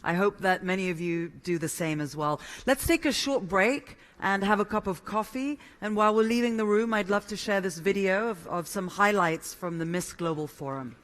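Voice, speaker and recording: Man from Kenya, event host, high voice, bright tone, friendly announcing. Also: normal speed, few pauses. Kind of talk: live event hosting.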